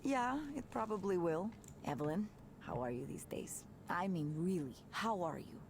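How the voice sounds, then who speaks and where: Animated, candid young woman. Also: lowish voice, normal speed, a little hiss or hum. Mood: gentle, concerned. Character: upbeat young woman, electronic musician and old friend, candid, animated. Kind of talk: visual novel game voice acting.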